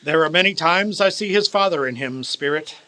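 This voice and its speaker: An actor playing a bitter, miserly older man who softens into regret, in a radio play. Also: fairly high pitch, fairly quick. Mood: wistful. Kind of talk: live radio play acting. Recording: roomy, echoing stage sound.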